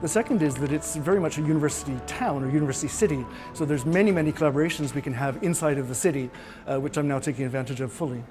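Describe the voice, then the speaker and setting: A man with a Canadian accent, a researcher explaining his work clearly in an interview. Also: fairly high pitch, fast talker, music in the background. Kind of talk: interview answers in English.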